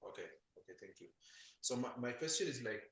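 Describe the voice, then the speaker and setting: A man from Ethiopia asks questions on a video call in a halting way, filling gaps with "like", and his mic sounds faint and muffled. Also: mid-range voice, animated, vocal fry, fairly bright tone, roomy sound. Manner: halting, heavy use of "like" fillers. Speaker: webinar participant asking questions.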